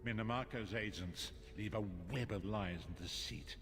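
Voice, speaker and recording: A man acting as grave old blind prophet, deep-voiced. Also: lightly acted. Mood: solemn, urgent. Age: older. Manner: solemn, measured, formal. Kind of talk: fantasy video game dialogue.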